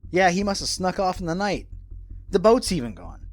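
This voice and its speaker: Man playing an animated, blunt, skeptical sailor. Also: sits high, normal speed, faint music behind. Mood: urgent, blunt. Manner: colloquial, blunt. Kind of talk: radio drama dialogue.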